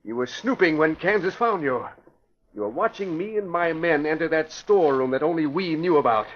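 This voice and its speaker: Vintage radio crime boss: high, swooping voice, pompous and easily rattled, dull old recording. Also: male voice, lively intonation, a little fast. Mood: menacing. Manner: grandiose, pompous boasting. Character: haughty, panicky male criminal mastermind boss. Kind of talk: classic radio drama acting.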